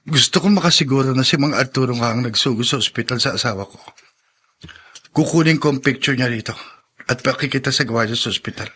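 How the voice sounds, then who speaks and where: A man's voice: medium pitch, fast, pushed and bright, husky. A man voicing an earnest young engineer who speaks politely in an audio drama. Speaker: voice actor.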